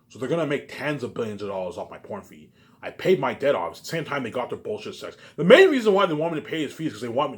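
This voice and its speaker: A man giving a rambling, informal monologue to his viewers, repeating himself, with emphatic profane bursts that drop into muttered asides. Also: medium pitch. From Canada. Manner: repetitive, halting, with habitual trailing tags. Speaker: vlogger.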